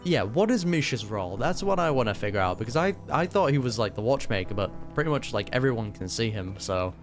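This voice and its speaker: A man's voice: mid-range voice, sing-song, fast, soft background music. A man giving casual reaction commentary on a game, musing aloud with some hesitation.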